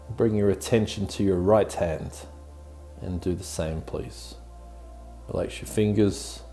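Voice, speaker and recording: A man with a deep, mellow voice hosts a guided meditation. He gives soothing, gently encouraging instructions at a very unhurried speed. Music plays behind him. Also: vocal fry. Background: background music.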